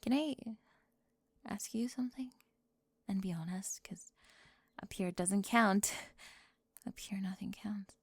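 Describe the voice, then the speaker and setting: A woman performing a whispered ASMR roleplay, teasing and sharp-tongued, moving between whispers and soft speech into a close microphone. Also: medium pitch, creaky, breathy edge, no echo.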